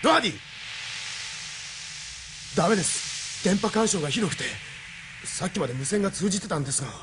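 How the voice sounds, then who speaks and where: A high-pitched urgent radio operator. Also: male voice, animated, brisk, background noise. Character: young male military radio operator, urgent, dutiful. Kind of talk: Japanese audio drama acting.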